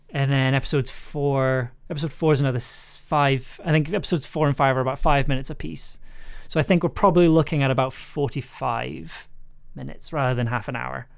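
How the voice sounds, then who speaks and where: A man's voice: mid-range voice, steady tone, moderate pace, gravelly, clean tone. A man giving casual, hesitant livestream commentary as he edits audio, thinking aloud as a producer. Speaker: streamer and audio drama producer.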